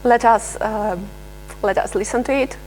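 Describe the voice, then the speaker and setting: Hesitant woman demoing software. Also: a little high, a little slow, slight background noise, rough recording. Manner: hesitant, many filler sounds and restarts. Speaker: researcher presenting software. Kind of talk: live software demo talk.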